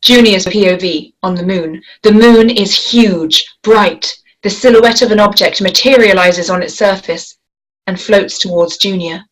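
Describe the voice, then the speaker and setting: A woman reading a screenplay aloud in a measured, descriptive way, giving action lines and scene headings. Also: medium pitch, poor audio. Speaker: script reader. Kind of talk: screenplay table read.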